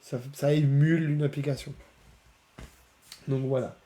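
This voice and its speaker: A man with a cold, a bit hoarse and nasal, casually presenting software on a live stream. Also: on the high side, flat delivery, a little slow. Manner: chatty, many fillers, laughs often. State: has a cold, slightly hoarse.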